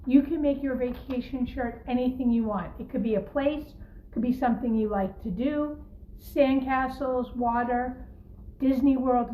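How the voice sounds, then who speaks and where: A woman teacher gently and encouragingly talking young children through a craft in simple words as she demonstrates it. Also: high-pitched, narrow, tinny audio. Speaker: primary school teacher leading a craft. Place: home kitchen, by the refrigerator. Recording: echoey room with faint background noise.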